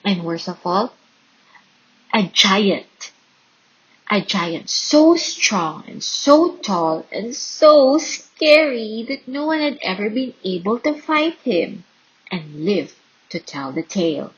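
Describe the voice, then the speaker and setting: A woman reading a children's Bible story aloud in an expressive, dramatic and deliberate storytelling style. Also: medium pitch, husky, dull audio. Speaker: storybook reader.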